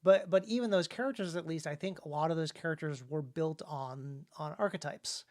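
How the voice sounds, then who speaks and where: A man co-hosting a casual livestream discussion as an author, in a halting, discursive way that often restarts phrases. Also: on the high side, a little mellow. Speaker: author and co-host of a writing talk show.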